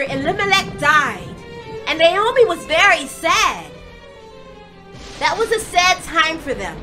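A middle-aged woman telling a story to children in an expressive, emphatic way. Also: very high voice. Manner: expressive, emphatic, deliberate phrasing. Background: music.

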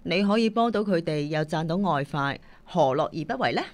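A woman's voice: fairly low pitch, expressive, a little fast, close to an everyday voice. A woman playing a sensible, kindly singing teacher in a radio drama, speaking with a Hong Kong Cantonese accent. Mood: friendly.

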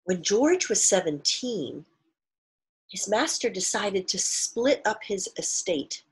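A middle-aged woman reading a storybook aloud expressively like a teacher, deliberately stressing key words and pausing to explain. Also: medium pitch, very animated, slightly rough, poor audio. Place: small living room at home, indoors.

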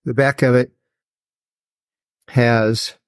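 A man's voice: medium pitch, little pitch movement, extremely slow, clean tone. A middle-aged or older man giving a casual, matter-of-fact product walkthrough as a video host, with a slightly wry, plain-spoken way of talking. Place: indoor garage workshop.

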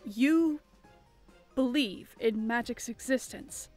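A woman's voice: fairly high pitch, takes it slowly, darkish tone, soft background music. A woman playing a defiant, suspicious young island captive in a fantasy audio drama, curt and scornful.

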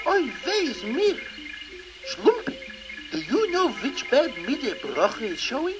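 A man's voice: extremely high pitch, sing-song, unhurried, over music, exaggerated voice. A man voicing a fussy, kindly doctor mentor in a children's audio story, instructive and theatrical.

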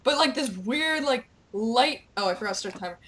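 Bright, excitable young woman gamer. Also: on the high side, brisk. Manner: excitable, playful, goofy exclamations. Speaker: gamer, video creator. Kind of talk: casual gameplay chat, reading quest captions aloud.

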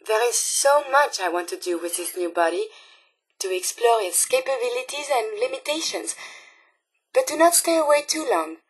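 A woman's voice: a little high, expressive, moderate pace, breathy edge, no echo, poor audio. A woman playing an earnest, polite female robot scientist in a video game, with a French accent and formal, precise wording. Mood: tender. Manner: formal, precise, carefully worded. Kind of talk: video game character dialogue.

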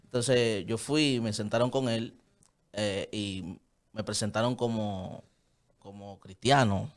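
A man's voice: on the low side, steady tone, takes it slowly. A man in his thirties with a Dominican Spanish accent, talking earnestly as a guest in a small padded radio studio into a desk microphone. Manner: earnest, gestures a lot while talking. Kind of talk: casual studio chat. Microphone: studio mic on a desk stand, headphones on.

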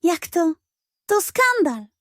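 Woman voicing high, outraged Western townsfolk. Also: very animated, takes it slowly, a bit bright, no echo, clean studio sound, exaggerated voice. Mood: shocked. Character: indignant townsfolk in a Wild West crowd.

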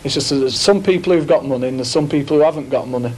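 A man's voice: medium pitch, very fast, a little mellow, low-quality recording. Earnest young Lancashire man. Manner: earnest, opinionated. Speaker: interviewee. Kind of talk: casual opinion chat. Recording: 1980s TV film with faint noise.

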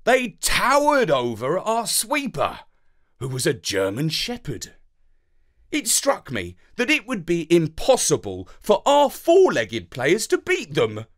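A man with a British accent narrating a children's story in a theatrical, deliberate, expressive way. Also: a little high.

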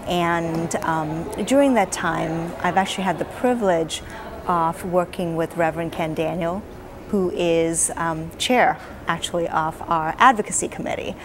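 Earnest woman, lowish voice, noisy lounge. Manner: earnest, measured, frequent "um" fillers. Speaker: advocacy director, interviewee. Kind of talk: on-camera interview answers. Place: hotel lounge, indoors.

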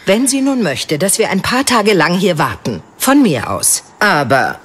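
A woman playing a tough, sharp-tongued archer with a dry, curt manner in an audiobook drama. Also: on the low side, very animated, unhurried, slightly rough, heavily acted. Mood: sceptical.

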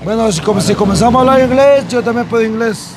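High-voiced young Salvadoran man, rambling. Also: fast, a crowd in the background. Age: young adult. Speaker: interview guest, former footballer living on the street. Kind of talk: casual street interview. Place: outdoors on a city street at night. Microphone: clip-on lavalier. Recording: noisy street with passing traffic.

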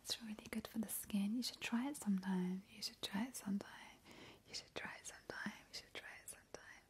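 A woman playing a playful, ghoulish vampire in a whispered ASMR roleplay, breathy and slow, with soothing relish. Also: medium pitch. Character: playful, ghoulish immortal female vampire baking gruesome treats. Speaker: ASMR host in character. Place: home kitchen, indoors. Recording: noticeable background hiss, soft clicks.

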